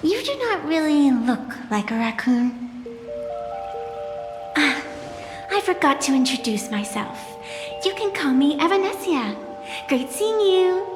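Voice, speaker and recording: A poised, enigmatic young woman from a video game, high in voice and slow in delivery. She speaks formally, teasing with faintly haughty amusement, in a deep wash of reverb over music. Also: sing-song, exaggerated voice. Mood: theatrical, welcoming. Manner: teasing, theatrical, unhurried formal speech. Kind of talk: scripted video game character acting. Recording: echoey, long reverb, music behind.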